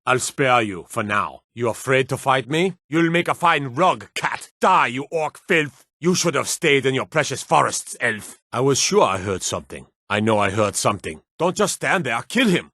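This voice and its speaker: An actor playing a gruff, aggressive male guard and soldier in a fantasy video game, rough-voiced, blunt and theatrical in delivery. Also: medium pitch, pushed and bright, no room sound, studio quality, exaggerated voice. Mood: wary, searching. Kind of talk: video game combat barks and warnings.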